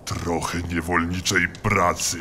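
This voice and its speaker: An actor playing a brutal orc warlord in an audio drama, growling menacingly at captives. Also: male voice, low-pitched, flat delivery, slow pace, pushed and bright, slight background noise, exaggerated voice. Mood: contemptuous threat. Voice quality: gravelly growl.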